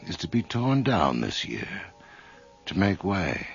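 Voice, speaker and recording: An actor as a grave, ominous storyteller, narrating a radio drama in a solemn, measured way. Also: male voice, low-pitched, very animated, creaky, full character voice. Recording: dull radio recording, faint music.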